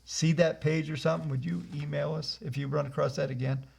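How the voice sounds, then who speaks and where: A man hosting and leading a live software training webinar over a video call, rambling with many fillers and restarts. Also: on the high side, steady tone, a little fast. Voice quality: gravelly. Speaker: host and trainer. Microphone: computer mic on a video call.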